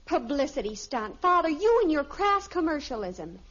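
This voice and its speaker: An actress playing a melodramatic, lovestruck American teenage daughter with affected sophistication in a 1950s radio sitcom. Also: female voice, high voice, sing-song, moderate pace, a bit bright. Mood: smitten, indignant. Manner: pompous, theatrical, dreamy. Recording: dull vintage radio recording.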